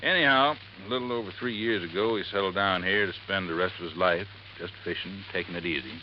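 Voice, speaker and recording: A folksy, rambling desert resort handyman, played by an actor, in a 1950s radio drama, his voice sitting very low. He drops his g's and spins his story at his own pace. The recording is muffled, with a faint hiss underneath. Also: quick pace, lightly acted. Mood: earnest, folksy.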